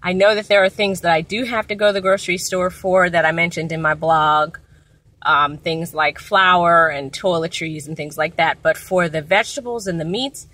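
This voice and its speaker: A woman's voice: on the low side, a bit bright. A middle-aged American woman chatting casually to her vlog from inside a car. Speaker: vlogger. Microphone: phone at arm's length.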